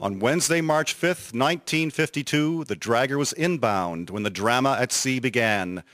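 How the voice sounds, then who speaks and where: A man narrating a scripted dramatic story in a measured, solemn way. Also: medium pitch, animated, a bit bright, no echo, studio quality. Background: music.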